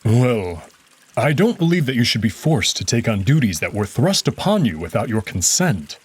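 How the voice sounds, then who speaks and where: A man voicing a gentle, devoted male wolf nobleman who speaks softly and formally. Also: medium pitch, sing-song, moderate pace, husky. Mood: tender. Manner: soft-spoken, caring, formal.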